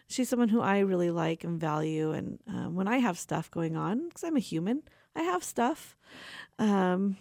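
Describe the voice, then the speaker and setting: A woman's voice: medium pitch, vocal fry, darkish tone, no room sound, clean studio sound. A woman of forty with a young-sounding voice, hosting a radio show in a rambling, personal way, tired and laughing often. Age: 40s. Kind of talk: casual chatty radio talk.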